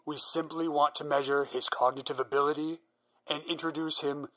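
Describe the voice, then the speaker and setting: A man's voice: a little high, flat delivery, normal speed, fairly bright tone, lightly acted. An actor playing a single-minded male scientist who explains his plans in an earnest, persuasive way. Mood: polite. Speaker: researching psychologist. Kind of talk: audio drama acting.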